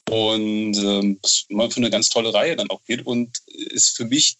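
Bright-voiced man chatting in an interview. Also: fairly low pitch, fairly even intonation, muffled recording. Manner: halting, searching for words, frequent tag questions. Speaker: novelist and TV writer, interview guest. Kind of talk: casual interview chat, storytelling.

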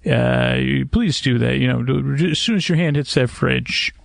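A man hosting a sleep podcast in a halting, digressive, meandering monologue, often interrupting himself and trailing off, with a gravelly creak to his voice. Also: medium pitch, no echo, clean studio sound. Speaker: podcast host. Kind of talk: rambling, meandering bedtime monologue to help listeners sleep.

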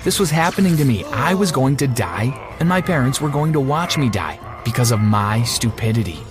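A man's voice: mid-range voice, lively intonation, normal speed, over music, lightly acted. A man playing a repentant teenage bully, narrating his own story in a dramatic, earnest first-person style. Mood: anguished.

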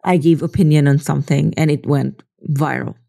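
Low, gravelly woman vlogger. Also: steady tone, moderate pace, mellow. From Philippines. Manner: earnest, leans on "you know" and "right?". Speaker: social media creator. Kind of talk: casual reflective monologue.

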